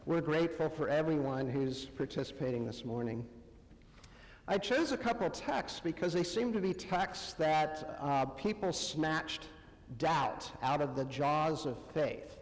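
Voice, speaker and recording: A man preaching in a conversational style, with a halting delivery that pauses often between short phrases. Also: on the high side. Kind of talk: conversational sermon. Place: church sanctuary, amplified to a congregation. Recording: long hall reverb.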